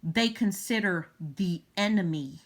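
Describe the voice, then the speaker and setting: Lowish-voiced woman, halting political commentary. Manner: halting, emphatic. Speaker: vlogger commenting on news.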